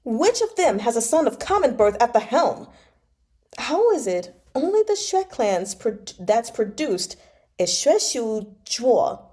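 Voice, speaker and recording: Envious young lord from a novel, acted by a woman reader: soft-toned, pitched up, resentful and complaining. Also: sing-song, measured pace. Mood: aggrieved, bitter. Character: resentful, envious young nobleman, the lawful heir.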